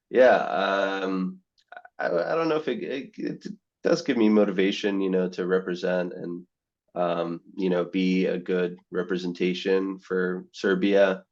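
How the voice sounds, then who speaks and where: A man's voice: deep voice, measured pace, darkish tone, highs cut off. A young man, a medical student, answering interview questions in a rambling way, full of "you know" and restarts. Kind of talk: casual podcast interview.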